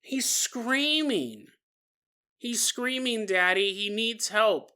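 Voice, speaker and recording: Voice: medium pitch, animated, takes it slowly, pushed and bright, smooth, voice pushed up. A storyteller voicing a terrified three-year-old girl who pleads insistently for help, repeating herself. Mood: desperate pleading.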